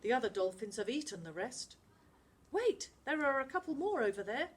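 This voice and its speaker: A woman's voice: fairly high pitch, very animated, normal speed, slightly rough, voice pushed up. A woman voicing a grim, strained selkie boy in a storybook. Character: grim boy selkie who swims like a seal.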